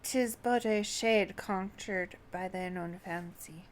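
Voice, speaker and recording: A woman's voice: medium pitch, slight background noise, lightly acted. A woman voicing an ancient, scornful and world-weary male dragon from a fantasy game, reading solemnly in archaic language. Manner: solemn, measured, archaic diction. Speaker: streamer reading out translated game dialogue. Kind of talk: archaic fantasy dialogue read aloud.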